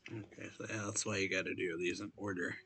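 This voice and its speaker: A man's voice: sits low, level tone, fast, bright, forceful tone. A man giving informal let's-play reactions, dropping short muttered comments as he plays. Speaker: gaming video host.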